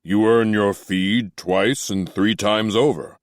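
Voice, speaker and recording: A man doing a stern, measured old captain. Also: fairly low pitch, steady tone, dry recording, studio quality. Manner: slow, measured, gently admonishing. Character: stern but kindly male captain, middle-aged. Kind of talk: audiobook character voice.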